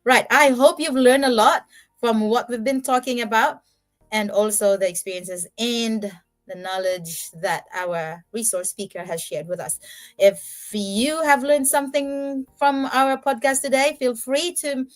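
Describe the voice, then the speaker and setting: A woman's voice: a little high, measured pace. A middle-aged woman from the Philippines, a native Ilonggo speaker, hosting a podcast and giving earnest advice with some hesitant fillers. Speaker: podcast host. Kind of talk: casual podcast chat and closing remarks.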